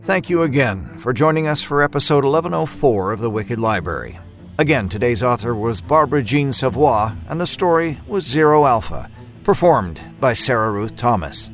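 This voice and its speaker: A man's voice: medium pitch, big pitch swings, normal speed, a bit bright, soft background music. A man hosting a podcast, reading scripted credits and promotion. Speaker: podcast host.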